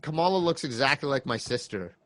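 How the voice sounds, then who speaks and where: A man's voice: mid-range voice, expressive, a little fast, fairly bright tone, dry recording. A man in his thirties chatting casually as a podcast guest, enthusiastic and reflective. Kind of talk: casual chat.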